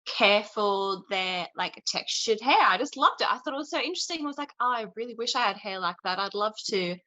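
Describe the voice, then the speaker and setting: Bright Australian woman on a video call. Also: medium pitch, brisk, poor audio. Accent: Australian, Queensland. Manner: chatty, animated storytelling. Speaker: interview guest. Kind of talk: casual chat.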